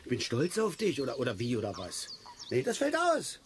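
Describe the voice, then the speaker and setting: An actor playing a stubborn, self-pitying middle-aged angler who grumbles and stammers self-righteously in a naturalistic radio play. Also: male voice, medium pitch, wildly swooping pitch, brisk, a little hiss or hum. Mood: indignant.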